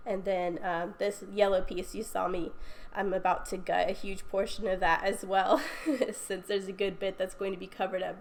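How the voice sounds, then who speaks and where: A woman's voice: mid-range voice, flat delivery, normal speed, gravelly, a little hiss or hum. A young woman with a stuffy cold, chatting casually through a craft video voiceover with frequent ums and little laughs. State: congested from a cold. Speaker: scrapbooking video host. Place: recorded voiceover at home.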